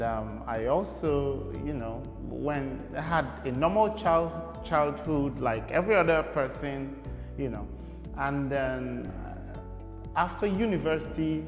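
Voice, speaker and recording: A man's voice: a little high, expressive, takes it slowly, music in the background. A young Nigerian man, a guest in an interview, talking casually and rambling with many fillers. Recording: echoey room with long reverb.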